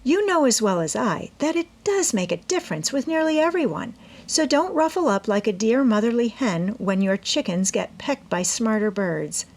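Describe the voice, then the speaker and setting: A woman's voice: a little high, lively intonation, moderate pace, a little mellow, pitched above the performer's own voice. A woman voicing a genteel, self-assured teenage girl with airy, persuasive manners in a storybook reading. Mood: confident. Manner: airy, important, sweetly persuasive.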